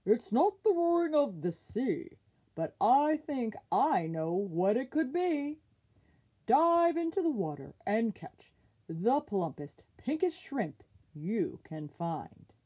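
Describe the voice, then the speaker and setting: A helpful walrus from a picture book, voiced by a woman, speaks emphatically and knowingly. The advice is lifted in pitch and lively, with a dull edge to the sound. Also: slow, husky, heavily acted. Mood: knowing, emphatic.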